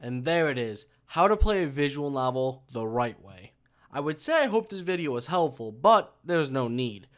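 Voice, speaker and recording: A man's voice: mid-range voice, sing-song, normal speed, soft background music. A man narrating a comedic how-to as an online video host, sarcastic and mocking, swearing freely and often sounding exasperated. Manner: foul-mouthed, mocking, exasperated rants. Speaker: YouTube host.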